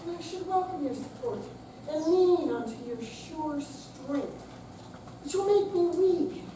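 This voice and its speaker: A woman playing a proud, wistful aging person in a deliberate, dramatic monologue reading. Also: high voice, expressive. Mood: reluctant. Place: senior center room, live staged reading. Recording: very echoey hall, distant mic, noisy.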